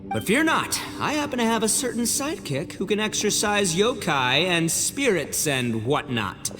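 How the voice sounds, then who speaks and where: An actor playing a brash, boastful young Oni gang boss in a video game cutscene, blustering and theatrical. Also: male voice, high voice, big pitch swings, moderate pace, sharp tone, full character voice. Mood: cocky, showy. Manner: theatrical, blustering, swings from cocky to flustered. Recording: long reverb, music behind.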